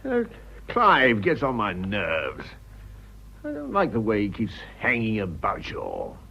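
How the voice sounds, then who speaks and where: An older upper-class British gentleman with a husky, lively voice. He is gruff and blustering yet fond, speaking in clipped bursts. The radio-drama sound is a little dull. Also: on the low side, a little slow, heavily acted. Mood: irritated, jealous. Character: blustering, fond, jealous old English aristocrat.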